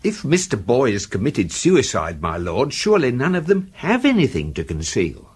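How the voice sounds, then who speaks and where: An actor playing a respectful, logical English manservant in a British radio drama, speaking formally. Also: male voice, medium pitch, wildly swooping pitch, moderate pace, slightly rough. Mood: deferential. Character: deferential, logical gentleman's manservant.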